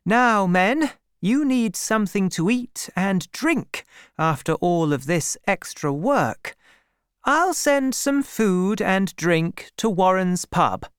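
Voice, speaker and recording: A woman's voice: mid-range voice, expressive, slow, clear, resonant tone, dry recording, clean studio sound, pitched above the performer's own voice. A woman voicing a composed, authoritative young lady farm owner in a storybook reading. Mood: commanding.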